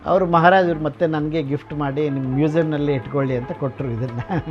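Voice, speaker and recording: A man's voice: a little high, steady tone, fast talker. A man explaining and telling stories about his collection informally, conversationally mixing in English words. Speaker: radio collector and museum keeper. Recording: dull lo-fi sound, faint music behind.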